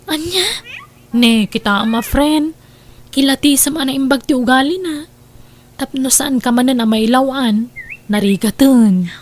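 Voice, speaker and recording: A woman's voice: sits high, unhurried, long pauses, slight background noise. Coaxing woman friend, played by an actress. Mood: curious, encouraging. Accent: Ilocano. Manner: conversational, coaxing. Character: encouraging, curious woman friend of a widow. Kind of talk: audio drama acting.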